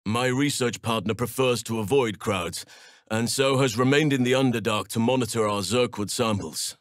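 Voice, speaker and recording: An actor playing a wise, gentle elf druid in a video game, with a measured, formal, thoughtful delivery. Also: male voice, mid-range voice, dry recording, studio quality, natural voice. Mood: thoughtful, calm. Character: wise, gentle male elf druid, nature-loving and solemn. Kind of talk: dramatic game dialogue.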